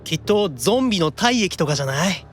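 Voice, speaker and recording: Squeamish young male anime character, whiny, jumpy, lively swooping intonation, audio drama, faint music behind. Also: high-pitched, brisk. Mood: wary, grumbling. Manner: animated, stammering exclamations when unnerved. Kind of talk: Japanese anime-style audio drama acting.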